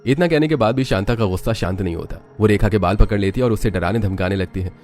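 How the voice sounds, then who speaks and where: A man reads a dramatic story in a low voice. His delivery is quick and scripted, carried over a music bed. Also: darkish tone. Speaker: narrator. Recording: background music under voice.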